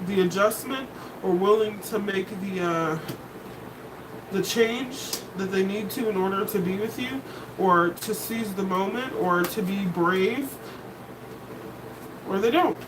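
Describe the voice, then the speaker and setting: Brief: deep-voiced woman tarot reader, blunt, unhurried delivery, mic set back with noise behind her. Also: far from the mic. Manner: blunt and candid, swears, laughs between points. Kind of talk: casual collective tarot reading.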